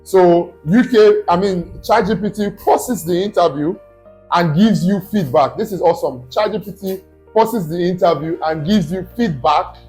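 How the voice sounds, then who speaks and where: A man's voice: sits high, moderate pace, a little mellow, faint music behind. A man, a study abroad consultant, walks viewers through a screen-recorded tutorial step by step and keeps checking in with "okay?".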